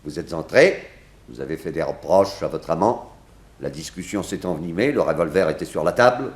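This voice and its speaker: An actor playing a blunt, accusing small-town sheriff, insistently interrogating in 1960s radio drama style. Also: male voice, low voice, lively intonation, fairly quick, roomy sound. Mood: accusing, forceful.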